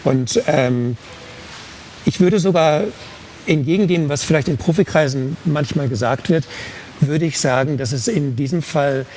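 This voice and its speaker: A man with a slightly high voice talks through his thoughts in an unscripted discussion. He is reflective and hesitant, often correcting himself. Faint noise sits behind his voice. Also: unhurried, a little mellow. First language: German. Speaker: volunteer interpreter on a discussion panel. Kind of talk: unscripted explanatory talk.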